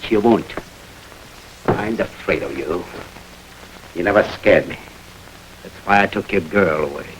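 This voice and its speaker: A man plays a brash gangster with a deep, low voice, sneering and taunting in tough street slang. He is hungry for power, in vintage noir radio style. The old recording has a light layer of noise. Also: moderate pace, pauses often, lightly acted. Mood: defiant taunting. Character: brash ambitious male gangster challenging his boss, sneering. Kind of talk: 1940s film noir radio acting.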